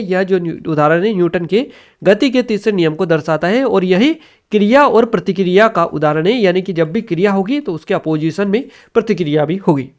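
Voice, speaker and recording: A man with a distinctly high-pitched voice teaches an exam review. He presents questions and their answers in an explanatory, instructional style. He leans on repeated set phrases and checks that listeners are following. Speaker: exam-prep teacher and channel host.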